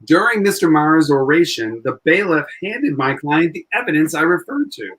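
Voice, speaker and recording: A man's voice: fairly high pitch, very animated, normal speed, fairly bright tone, poor audio. A man playing an earnest, persistent defense lawyer arguing in court in a 1940s-style radio play.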